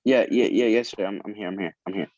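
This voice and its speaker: A man's voice: on the low side, quick pace, a little mellow, natural voice. A man playing an eager, dutiful young martial arts student in a script table read. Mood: flustered. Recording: dull lo-fi video call audio.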